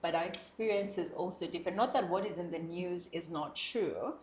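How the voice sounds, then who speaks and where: A woman's voice: fairly low pitch, fairly quick, darkish tone, reverberant, low-quality recording. A young woman from South Sudan, a guest speaking earnestly and expressively in an interview, laughing often. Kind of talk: reflective interview conversation.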